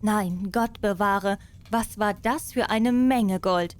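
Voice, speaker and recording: A woman narrating a fairy tale as an expressive audiobook storyteller. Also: medium pitch, slow.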